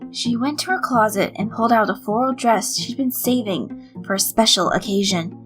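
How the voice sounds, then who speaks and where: A woman reading a scripted story as narrator, in an expressive storytelling style. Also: a little high, normal speed. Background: light background music.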